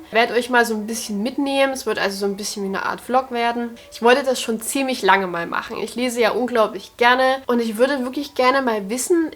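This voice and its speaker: A woman in her twenties talking casually and directly to her viewers, upbeat and chatty, filmed at home. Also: on the high side. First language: German. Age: 20s. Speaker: vlogger. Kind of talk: casual vlog to camera. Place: at home indoors.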